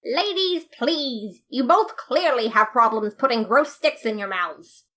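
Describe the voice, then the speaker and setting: A woman's voice: a little high, lively intonation, measured pace, fairly bright tone. A woman voicing an earnest, boastful male skeleton detective in a storybook reading, theatrical and overly formal.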